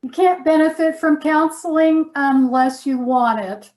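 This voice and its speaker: An actress playing a frustrated, nagging wife in a comic stage sketch, reproachful and emphatic. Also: female voice, high voice, measured pace, darkish tone. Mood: sarcastic, frustrated. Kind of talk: comic readers theater sketch.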